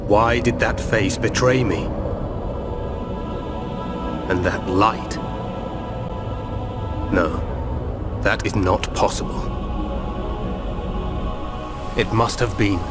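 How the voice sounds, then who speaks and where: An actor playing a cold, commanding villain in a video game, speaking in a deliberate, menacing way over loud music. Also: male voice, on the low side. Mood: brooding. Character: cold, commanding male villain scorning memory and obedient servants. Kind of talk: dramatic video game voice acting. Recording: loud ominous music bed. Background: loud dark orchestral music.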